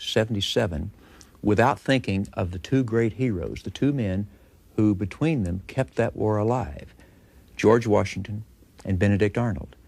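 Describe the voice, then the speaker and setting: An American man speaking as a history expert in a documentary interview, emphatic and deliberate in his phrasing. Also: fairly low pitch.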